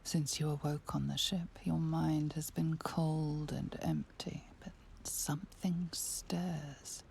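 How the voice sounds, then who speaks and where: A woman playing an ominous, whispery narrator of a dark fantasy video game. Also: deep voice, little pitch movement, slow, vocal fry, few pauses, slight background noise, exaggerated voice. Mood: ominous, hushed. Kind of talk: scripted second-person narration. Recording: faint music behind narration.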